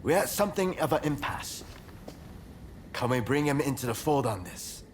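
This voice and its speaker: An actor playing a brash, eager, teasing rebel warrior in a video game, with an expressive delivery. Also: male voice, mid-range voice, moderate pace, slight background noise, lightly acted. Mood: eager, pleased. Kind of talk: dramatic video game cutscene acting.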